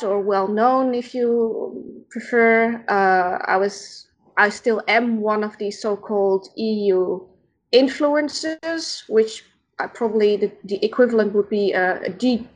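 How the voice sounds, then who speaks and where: A Dutch woman with a Dutch accent, a podcast guest who speaks in a halting, thoughtful way while searching for words. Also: a little high, poor audio. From Netherlands. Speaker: podcast guest, founder of an organization. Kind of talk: casual interview conversation.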